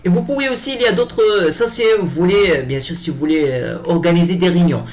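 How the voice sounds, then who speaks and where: A man giving an improvised software tutorial, speaking hesitantly and often repeating himself as he explains each step. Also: sits high, fairly quick. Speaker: tutorial presenter. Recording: clear background noise.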